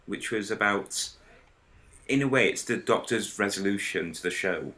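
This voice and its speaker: A man in a casual podcast discussion, explaining his ideas in a hesitant, halting way. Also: on the low side, pushed and bright. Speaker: podcast panellist.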